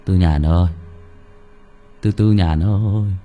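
Một người đàn ông kể chuyện vào vai anh chồng bị mê hoặc, si tình, rên rỉ van nài kéo dài. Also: male voice, low voice, lo-fi sound, faint music behind, pitched below the performer's own voice. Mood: lovesick moaning. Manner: slow, drawn-out pleading. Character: lovesick bewitched husband, dazed, moaning a woman's name.

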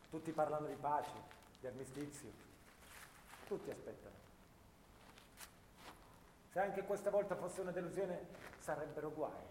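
Solemn, war-weary soldier in echoing hall. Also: male voice, fairly high pitch, darkish tone. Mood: tense hope. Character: grieving, war-weary young soldier, last of his comrades. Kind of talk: theatrical monologue for radio theatre. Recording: echoey stage hall, faint noise.

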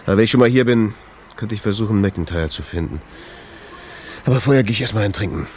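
An actor playing an impulsive, stubborn jazz club owner in a radio drama, talking in a colloquial, insistent, curt way. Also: male voice, lowish voice, fast talker, darkish tone, slight background noise, close to an everyday voice. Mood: shaken. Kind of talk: naturalistic German radio drama dialogue.

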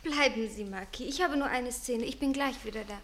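Actress as a high-voiced, haughty leading lady. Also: fairly quick, noisy background, close to an everyday voice. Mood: brisk. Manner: haughty, sharply articulated. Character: proud, headstrong leading actress with a temper. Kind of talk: theatrical radio drama acting.

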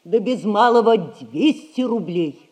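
A woman's voice: medium pitch, lively intonation, a little slow, darkish tone, natural voice. An actress playing an anxious, pleading middle-aged mother in debt, in a 1960s radio play. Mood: worried. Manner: pleading, fretful. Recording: long stage reverb, 1960s radio play.

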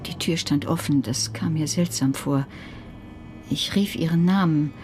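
An actress playing a sober neighbour giving a witness statement in a German radio play. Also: female voice, low-pitched, a little slow, background noise. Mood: subdued. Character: female neighbour, a sober witness reporting to police.

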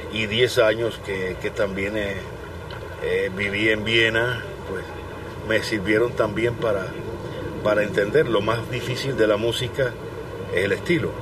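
A man from Cuba, a pianist, giving thoughtful interview answers with pauses and restarts. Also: on the low side. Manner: thoughtful, pausing, restarts words. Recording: distant mic with background noise.

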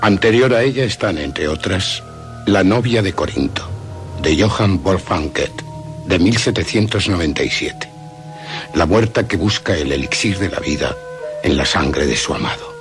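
A man's voice: low-pitched, expressive, moderate pace. A man reading dramatic scripted narration for a radio programme. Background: music.

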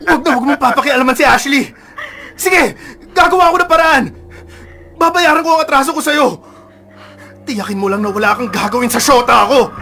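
A man's voice: extremely high pitch, brisk, pauses often, pushed and bright, faint music behind, exaggerated voice. A male actor playing a defiant, troubled young man in a Filipino radio drama, with a Filipino accent. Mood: alarmed, desperate. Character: defiant, hot-tempered troubled young son in debt.

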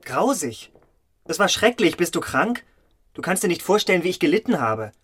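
A cold young gentleman of a literary radio play. His voice is lightish and husky, in a very dry recording. He speaks with vain, cutting contempt. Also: high-pitched, sing-song, moderate pace, dry recording, exaggerated voice. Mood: disgusted.

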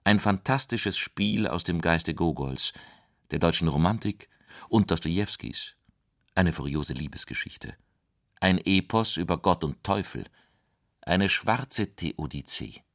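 A man reading literary quotations in a scripted, measured style. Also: deep voice, animated, fairly bright tone, no room sound, studio quality. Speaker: reader of quotations.

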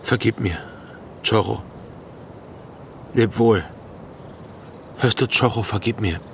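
An older villager torn by grief and guilt in a radio drama. His low voice has a gravelly edge and moves haltingly between hushed and anguished. Faint noise sits behind him. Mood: broken remorse. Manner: anguished, halting, breaking into whispers and wailing. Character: grief-stricken older Kyrgyz man, remorseful, mourning his friend. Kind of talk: German radio play acting.

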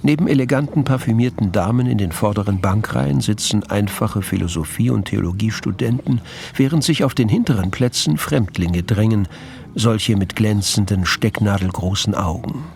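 An actor as the composed storyteller of a mystery radio play, narrating in a measured, literary manner. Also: male voice, lowish voice, gravelly, a little mellow. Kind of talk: scripted narration.

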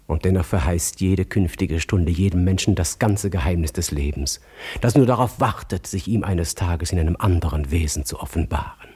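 Husky, brooding poet-narrator. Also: male voice, sits low, moderate pace, a little mellow. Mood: solemn, brooding. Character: brooding, solemn male poet-narrator of inner reflections. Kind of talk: literary radio drama monologue.

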